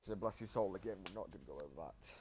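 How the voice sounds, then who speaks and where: A deep-voiced man with a gravelly creak gives offhand, explanatory reaction commentary. The recording carries some background noise and clicks. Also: animated, very fast. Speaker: host explaining voice lines.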